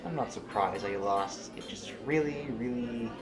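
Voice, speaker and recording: A man's voice: mid-range voice, normal speed, over music, natural voice. A man playing a blunt, argumentative general in an audio drama. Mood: disgruntled. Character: blunt, opinionated military general and political dissenter.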